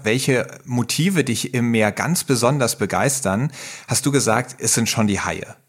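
A man hosting an interview podcast, introducing the episode and asking questions with friendly enthusiasm. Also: medium pitch. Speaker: podcast host.

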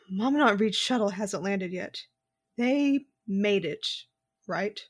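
Slow, husky, tough young warrior sister played by a woman. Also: medium pitch, dry recording, studio quality. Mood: dry, subdued.

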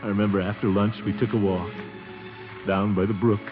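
An actor playing a flustered, sentimental middle-aged American bachelor in a theatrical 1940s radio comedy style. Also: male voice, lowish voice, little pitch movement, fairly quick, natural voice. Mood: fondly nostalgic. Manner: theatrical, sighing, exclaiming. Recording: muffled 1940s radio recording with music.

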